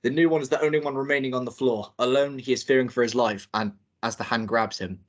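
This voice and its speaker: A man reading out the stage directions at a table read in a plain, steady way. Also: medium pitch, fast talker, highs cut off.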